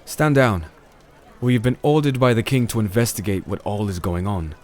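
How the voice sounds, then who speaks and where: A man playing a very deep-voiced, solemn crusader. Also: lively intonation, a little mellow, natural voice. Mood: commanding. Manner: measured, solemn. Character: disenchanted male crusader, calm, firm sword-bearing investigator. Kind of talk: audio drama dialogue and first-person narration.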